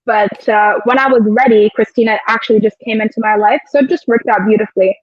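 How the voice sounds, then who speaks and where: Woman guest, high voice, dull dry sound. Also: quick pace, dry recording. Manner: reflective, storytelling with quoted asides. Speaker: guest, air traffic control officer. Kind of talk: casual interview chat.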